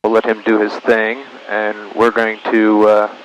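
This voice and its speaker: A male pilot narrates his flight from the cockpit of a small plane in a fairly low voice. He thinks aloud in short procedural phrases, switching to clipped radio calls. The headset sound carries faint engine noise. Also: monotone, a little slow, low-quality recording. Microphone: aviation headset.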